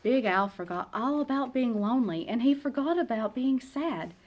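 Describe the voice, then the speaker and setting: A woman narrating a children's picture book aloud in an expressive, dramatic way, with emphatic pauses and hushed phrase endings. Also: fairly high pitch. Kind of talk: expressive storybook read-aloud.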